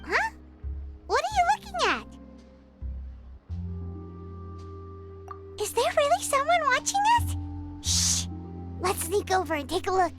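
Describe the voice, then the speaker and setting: A woman voicing a tiny, excitable fairy companion in a game, inquisitive and bossy, exclaiming in the third person. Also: very high-pitched, normal speed, music in the background, full character voice. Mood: suspicious. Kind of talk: animated game character acting.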